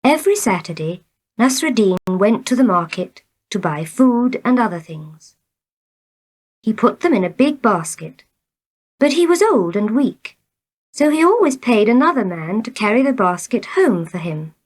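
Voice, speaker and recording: A woman's voice: on the high side, big pitch swings, normal speed. A woman reading short elementary stories aloud in a scripted narration for learners. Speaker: story reader.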